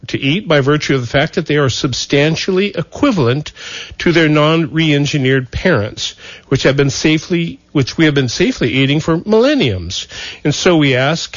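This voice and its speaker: A man hosting a radio talk show, with a slightly high voice heard in a dry, close studio sound. He spins a playful, wry story and sometimes restarts his sentences. The broadcast sounds dull and lo-fi. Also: no room sound.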